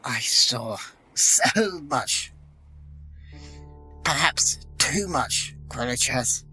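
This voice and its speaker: An actor playing a troubled, deferential follower in a fantasy audio drama, formal, grave and halting. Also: male voice, medium pitch, expressive, pushed and bright, soft background music, heavily acted.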